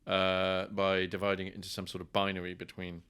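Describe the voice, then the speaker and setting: A man's voice: sits low, completely flat delivery, a little fast, fairly bright tone, smooth. A middle-aged man with an English accent talking discursively and wryly as a guest in a conversational interview, often repeating words as he thinks. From England. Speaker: professor, podcast guest.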